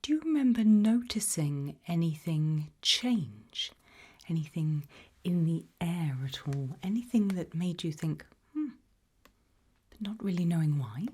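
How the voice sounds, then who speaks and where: A British woman speaking gently and reassuringly, mostly in a whisper, as an interviewer in an ASMR roleplay. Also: lowish voice, expressive, measured pace, breathy edge. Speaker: ASMR roleplay host playing an abduction investigator.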